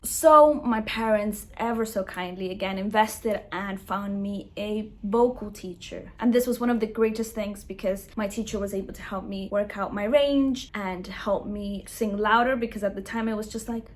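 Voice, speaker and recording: Young woman w/ a chatting to camera, bright clear tone, upbeat and jokey about herself. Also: mid-range voice, normal speed, few pauses. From Ecuador, raised in London. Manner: chatty, upbeat and self-mocking. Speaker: songwriter and vlogger. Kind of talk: casual personal storytelling to camera.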